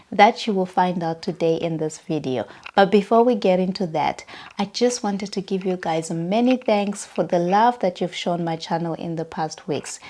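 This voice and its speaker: A woman in her thirties speaks with a Southern African accent. Her voice sits slightly low for a woman. She addresses viewers directly in a personal vlog, recorded indoors in a bedroom. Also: darkish tone. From Zimbabwe and South Africa. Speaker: vlogger.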